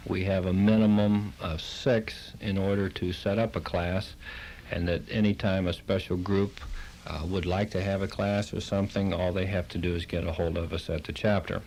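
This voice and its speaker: A man's voice: fairly low pitch, a little mellow, poor audio. A man giving an explanatory radio interview as a representative of a local organization. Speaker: Red Cross chapter representative, interviewee. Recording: faint noise on old tape.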